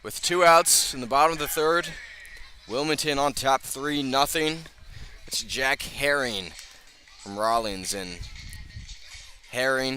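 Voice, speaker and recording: Bright-voiced baseball play-by-play man. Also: medium pitch. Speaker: baseball radio play-by-play announcer. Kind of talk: live sports commentary. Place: outdoor ballpark broadcast position.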